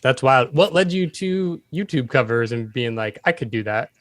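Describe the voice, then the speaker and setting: A man's voice: mid-range voice, lively intonation, normal speed, no echo. A man hosting a podcast, asking questions and giving short encouraging replies with easy laughter. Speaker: podcast host. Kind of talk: casual interview chat.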